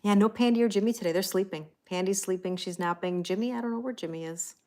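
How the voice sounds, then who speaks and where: A woman's voice: medium pitch, fast, darkish tone. A middle-aged woman hosting a tarot livestream, chatting casually and thanking viewers by name.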